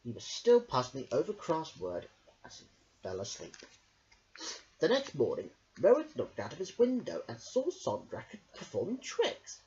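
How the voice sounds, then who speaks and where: A woman narrates a children's storybook with theatrical flair. Her fairly low voice swings widely in pitch. The recording sounds a bit dull and lo-fi. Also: measured pace, slight background noise. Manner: theatrical, expressive. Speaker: story reader.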